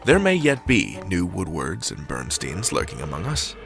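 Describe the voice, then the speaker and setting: Earnest deep-voiced male narrator. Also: wildly swooping pitch, moderate pace, creaky, over music. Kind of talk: scripted narration.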